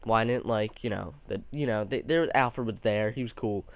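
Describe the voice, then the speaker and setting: A man's voice: on the low side, normal speed, smooth, dry recording. A young man giving a casual, rambling movie review, sounding a bit nasal from allergies, with many restarts.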